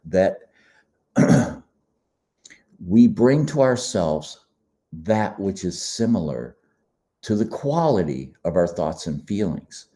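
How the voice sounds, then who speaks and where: A man hosting a podcast, giving a slow, meditative spiritual talk in a slightly hoarse, froggy voice. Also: low-pitched, warm tone. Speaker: podcast host. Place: quiet beach condo.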